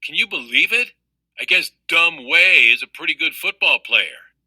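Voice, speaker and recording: Man putting on a catty schoolgirl, lifted pitch. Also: animated, moderate pace, pushed and bright, breathy edge, no echo, exaggerated voice, rough recording. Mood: amazed.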